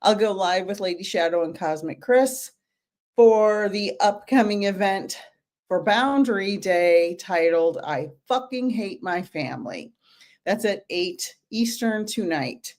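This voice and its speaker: A middle-aged woman hosting a casual live-stream book club, her voice hoarse from teaching, greeting viewers by name as she talks. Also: medium pitch, lively intonation, unhurried, a little mellow.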